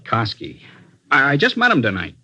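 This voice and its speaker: An actor playing a defensive, mouthy American ex-convict with slangy, indignant talk in a 1940s radio crime drama. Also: male voice, medium pitch, wildly swooping pitch, moderate pace, sharp tone, dull audio, full character voice. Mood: nervous, defensive.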